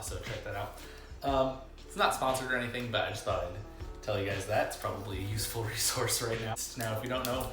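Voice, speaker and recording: A man hosting a casual comic book review vlog, talking haltingly with pauses mid-sentence and wry, self-aware asides. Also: on the low side. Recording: echoey room, music underneath.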